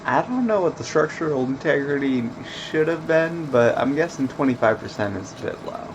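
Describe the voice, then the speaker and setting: A man streaming a video game with casual, laid-back commentary in a monotone voice, full of fillers. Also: on the high side, normal speed, background noise. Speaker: let's play streamer.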